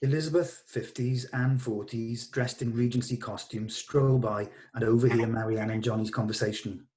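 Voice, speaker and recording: A man's voice: mid-range voice, fairly even intonation, moderate pace, darkish tone. A man giving a scripted narration of screenplay stage directions in a read-through over a video call. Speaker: reader of stage directions, running the rehearsal.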